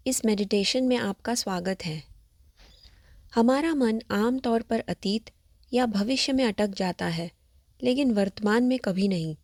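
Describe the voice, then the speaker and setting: A woman leading a scripted guided meditation, giving gentle, measured instructions. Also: on the high side, dry recording, clean studio sound. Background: background music.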